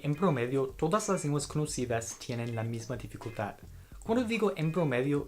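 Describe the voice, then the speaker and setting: Man narrating a language explainer. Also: medium pitch. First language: English. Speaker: educational video host.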